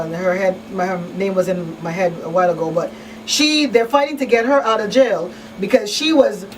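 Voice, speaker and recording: A woman on a talk show panel speaking casually and emphatically, outspoken, repeating phrases to drive points home. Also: on the high side. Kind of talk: casual, opinionated discussion. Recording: distant mic, faint room noise.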